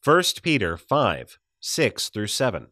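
A man reading a scripted devotional audiobook in a measured, deliberate way. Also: lowish voice, huge pitch swings, sharp tone, no echo, clean studio sound. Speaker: audiobook narrator.

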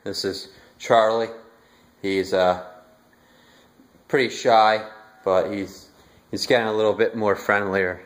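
Slow, low-voiced man walking a house tour. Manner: relaxed, halting, many fillers. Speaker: homeowner giving a house tour. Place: inside a house, walking room to room. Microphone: handheld phone camera, filming rooms.

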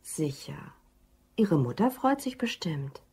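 A woman's voice: low-pitched, very animated, takes it slowly, warm tone, slight background noise, full character voice. A woman playing a patient, practical office secretary in an audio crime drama. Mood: businesslike. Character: patient, practical female secretary dreaming of her own business. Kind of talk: German radio play dialogue.

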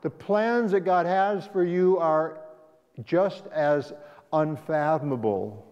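A man's voice: fairly high pitch, slow. A male pastor teaching a Sabbath school class, talking it through conversationally and asking the class questions. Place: church sanctuary. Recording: dull echoey church hall recording.

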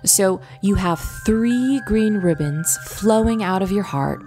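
Slow-speaking woman guiding a meditation. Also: mid-range voice, animated. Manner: gentle, soothing, instructive, with long pauses. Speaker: guided meditation teacher. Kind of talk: guided visualization meditation. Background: background music.

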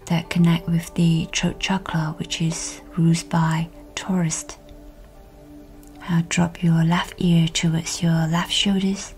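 A woman guiding a meditation in a soft, breathy, near-whispered voice with a soothing, measured delivery. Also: fairly low pitch. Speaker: guided meditation host. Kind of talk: guided meditation, scripted narration. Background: background music.

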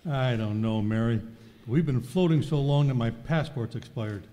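A man's voice: mid-range voice, normal speed, lightly acted. A man playing a wry, grumbling stranded tourist in a radio skit. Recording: echoey hall with noise.

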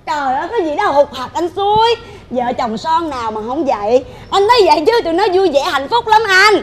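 A woman's voice: sits high, sing-song, fairly quick. A woman playing a brash, boastful, scolding middle-aged mother-in-law in a stage comedy, with a southern Vietnamese accent and an exclaiming, theatrical delivery. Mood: placating, chatty. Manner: theatrical, exclaiming, repeats herself for emphasis. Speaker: comic stage actress. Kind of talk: broad stage comedy acting. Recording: live stage with loud audience.

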